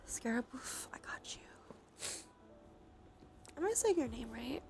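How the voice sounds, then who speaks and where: A sniffly woman with a sore throat chatting softly on a cozy ASMR livestream, often dropping into whispers. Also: on the high side, lively intonation, takes it slowly, vocal fry, soft background music.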